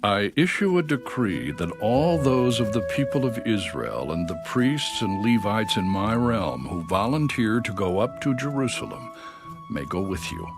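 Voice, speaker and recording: A regal Persian king in a low register, proclaiming his decree with formal, measured authority. Music plays prominently beneath this dramatised scripture reading. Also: big pitch swings. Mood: solemn, commanding.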